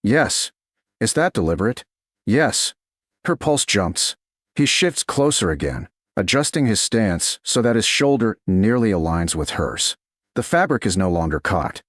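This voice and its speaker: A man narrates a romance story in measured, dramatic phrases. His voice sits low, with very animated swings in pitch. The recording is dry and close, with no room sound. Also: no echo. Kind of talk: scripted audiobook narration of a romance.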